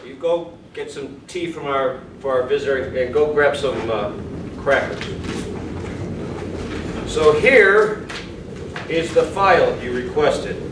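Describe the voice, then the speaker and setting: A man playing a defensive, officious director of a boys' institution in a staged play reading, emphatic and halting. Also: medium pitch, close to an everyday voice. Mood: businesslike. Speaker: stage actor. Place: small indoor venue with audience. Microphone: far camera. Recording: echoey room, distant mic, faint noise.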